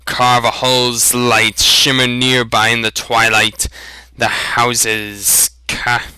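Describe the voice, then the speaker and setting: A man narrates a story with deliberate, expressive delivery. His voice is bright and smooth at an average male pitch, and he takes his time over the phrases. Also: dry recording. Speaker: reader of a fan dramatic reading.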